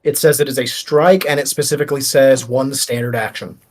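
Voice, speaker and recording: A man's voice: medium pitch, steady tone, brisk, darkish tone. A man playing a tabletop game, talking casually and explaining how the rules work.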